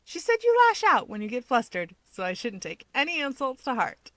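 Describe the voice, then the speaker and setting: Woman voicing a cocky, needling young male hero, pitched up. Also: huge pitch swings, brisk, a bit bright, no room sound. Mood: forced amusement. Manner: taunting, emphatic.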